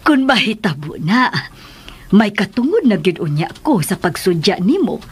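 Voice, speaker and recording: An actress with a Filipino accent and husky voice playing a kindly but firm, protective middle-aged boss in a radio drama. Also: female voice, medium pitch, very animated, normal speed. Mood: pleased, approving.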